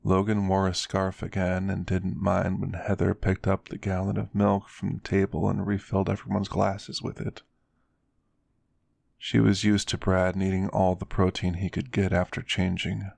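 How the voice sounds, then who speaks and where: A man narrates a scripted story for ASMR in a low voice with plenty of fry. The delivery stays fairly even, and the recording is dry and close. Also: no room sound.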